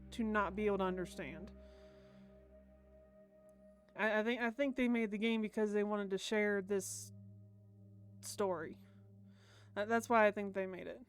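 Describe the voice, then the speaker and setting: Casting: American female game streamer, mid-pitched, casual, stammering commentary over faint game music. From United States. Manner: stammers and repeats words. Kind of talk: casual livestream commentary and reading aloud.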